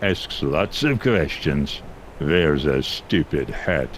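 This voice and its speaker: A deep-voiced small-town man of few words for a video game. He is deadpan and unhelpful, with slow, clipped answers over faint background noise. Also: heavily acted. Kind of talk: video game character dialogue.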